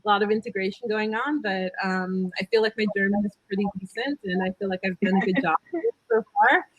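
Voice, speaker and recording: A woman's voice: mid-range voice, brisk, breathy edge, dry recording, poor audio. A woman in her thirties from California with an American accent, telling her career story on a video call panel, chatty and hesitant with many fillers. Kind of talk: casual panel talk.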